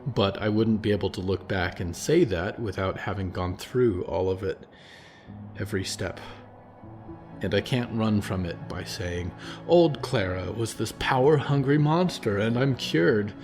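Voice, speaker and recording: A man's voice: fairly low pitch, measured pace, a little mellow, soft background music. A man voicing a thoughtful woman looking back on her past choices. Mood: reflective. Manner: earnest, thoughtful. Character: woman, reflective, remorseful former zealot.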